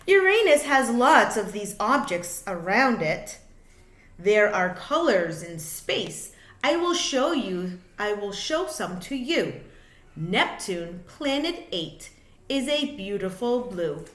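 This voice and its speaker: A woman reading a children's book aloud like a teacher, in a deliberate, expressive way, phrase by phrase for young listeners. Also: medium pitch, echoey room. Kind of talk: children's storybook read-aloud.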